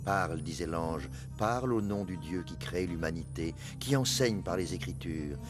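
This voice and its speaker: Deep-voiced solemn male storyteller. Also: expressive, moderate pace, over music. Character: male companion of the Prophet, solemn devout storyteller recalling hardship. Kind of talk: dramatized first-person narration for an animated documentary.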